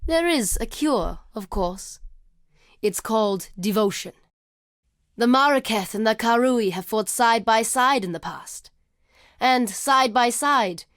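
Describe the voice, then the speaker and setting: A woman playing a proud, wary desert tribeswoman warrior in a video game, speaking in a solemn, measured and formal way. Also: mid-range voice, no room sound, clean studio sound. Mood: scornful. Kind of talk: video game character dialogue.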